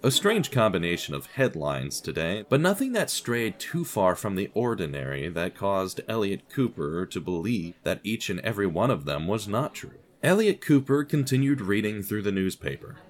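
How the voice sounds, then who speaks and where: A man narrating a scripted fiction podcast in a wry, dramatic manner with deliberate phrasing. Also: lowish voice, big pitch swings.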